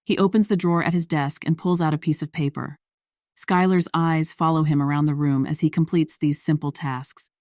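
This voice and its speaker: A woman's voice: sits low, steady tone, normal speed, darkish tone, no room sound, clean studio sound. A woman reading scripted story narration as the narrator of a piece of fiction.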